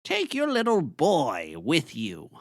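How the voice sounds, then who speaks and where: A woman voicing a fussy, formal lab keeper, measured and testy, in an audio fantasy drama. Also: deep voice, wildly swooping pitch, no echo, studio quality, exaggerated voice. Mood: irritated.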